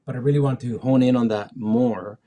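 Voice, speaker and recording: A man from Mexico City who moved to Canada as a teenager, speaking as a musician being interviewed, reflective and halting as he thinks. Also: mid-range voice, steady tone, warm tone. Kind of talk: casual conversational interview.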